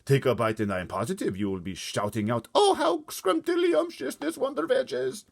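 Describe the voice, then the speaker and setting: A man voicing a kindly, gentle male giant from a children's storybook, earnest and tender, with muddled grammar. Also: very high-pitched, moderate pace, gravelly, voice pushed up, exaggerated voice. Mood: passionate.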